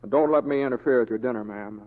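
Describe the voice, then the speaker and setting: A man's voice: medium pitch, fast talker, in a large hall, lightly acted. A man playing an easygoing, wry western deputy with a folksy, hesitant drawl in an old radio drama. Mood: mild, wry. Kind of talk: 1940s radio acting. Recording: dull old radio recording.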